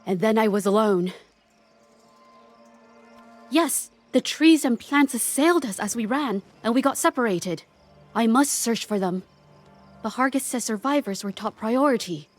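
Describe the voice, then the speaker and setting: A woman's voice: mid-range voice, lightly acted. An actress playing a tense, dutiful woman scout in a fantasy video game, speaking urgently and earnestly. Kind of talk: dramatic video game character acting.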